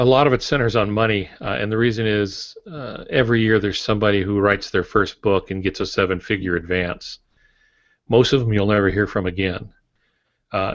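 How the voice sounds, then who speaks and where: An American man in his sixties giving plain-spoken, halting advice in a conversational podcast style on a video call. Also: on the low side. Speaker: guest author and publishing expert. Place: home office, indoors. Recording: dull, roomy video-call audio.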